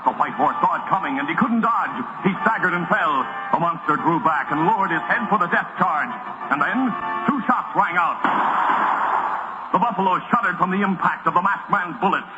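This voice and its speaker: Male narrator, American accent, theatrical 1940s radio delivery, muffled vintage recording, music clearly behind. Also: high-pitched, moderate pace. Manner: theatrical, solemn storytelling.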